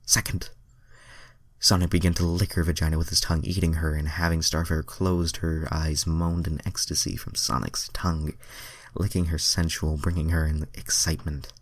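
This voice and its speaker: A man's voice: rumbling low, little pitch movement, normal speed, dry recording. A man narrating fan fiction as a dramatic reading, breaking off into casual asides to his viewers.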